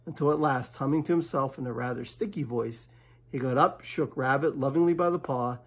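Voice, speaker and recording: A man's voice: medium pitch, a little fast. A man reading a children's bedtime story aloud as the storyteller.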